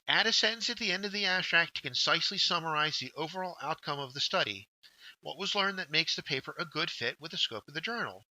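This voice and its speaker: A man's voice: on the high side, bright, forceful tone, no room sound, rough recording. A man giving scripted instructional narration as a workshop instructor, guiding learners step by step and addressing them directly.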